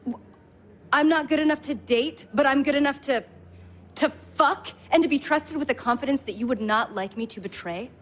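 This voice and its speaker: A woman's voice: sits high, normal speed, a little hiss or hum, lightly acted. A woman playing a wounded, pushy young woman in a naturalistic audio drama, speaking insistently and confrontationally. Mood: bitter, defiant.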